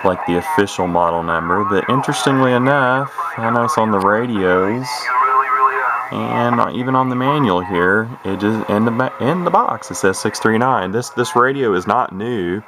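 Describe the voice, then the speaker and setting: Male hobbyist presenter, deep voice, unscripted and halting delivery with repeated words, recorded with clear hissy radio noise behind him. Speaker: radio collector presenting his own equipment. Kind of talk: rambling casual product showcase. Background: radio speaker playing static.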